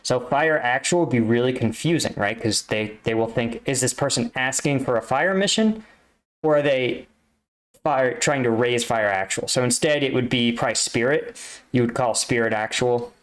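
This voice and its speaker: Casting: American male reactor, mid-pitched, casual explainer who thinks aloud haltingly. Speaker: reactor, army combat veteran.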